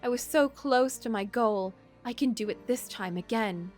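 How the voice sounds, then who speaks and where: A woman's voice: a little high, normal speed, soft background music, close to an everyday voice. A woman voicing a jealous, scheming young princess in a visual novel, with intense, dramatic declarations. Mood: grumbling, insistent.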